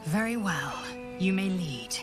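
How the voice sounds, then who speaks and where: A woman's voice: fairly low pitch, animated, a little slow, over music. An actress playing a fierce, proud githyanki warrior woman who speaks curtly and threatens openly. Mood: stern. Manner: curt, contemptuous, threatening.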